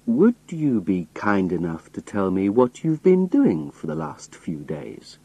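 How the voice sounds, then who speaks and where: A man voicing a sinister old spy chief in a story, calm, polite and quietly menacing. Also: low-pitched, a little slow, husky, dry recording, heavily acted. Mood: quiet doubt.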